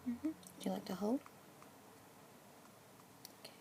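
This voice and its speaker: A young woman in a whispered ASMR roleplay as a pet adoption consultant, speaking slowly and gently in a soft unvoiced whisper. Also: mid-range voice, lively intonation, vocal fry, slight background noise.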